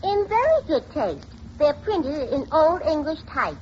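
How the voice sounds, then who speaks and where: A brassy, man-hungry dame in an old radio comedy. Her voice is high and wildly swooping, with self-assured, literal-minded delivery. The recording is dull vintage radio. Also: very animated, measured pace, a little mellow, slight background noise. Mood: haughty, busy. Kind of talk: 1940s radio comedy acting.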